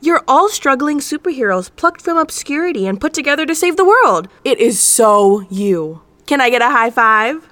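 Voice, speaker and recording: A woman's voice: sits high, sing-song, moderate pace, hardly any pauses, fairly bright tone, no room sound. A woman playing a gushing, cheesy team motivator obsessed with branding in a comedic audio drama. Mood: peppy, cajoling. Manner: gushing, peppy.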